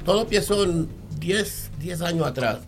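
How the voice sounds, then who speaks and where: A Puerto Rican man with a gravelly, creaky voice that sits a bit high. He speaks as an interview guest, halting and repeating words, checking that his listeners understand him. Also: over music. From born in New York, raised in the Bronx.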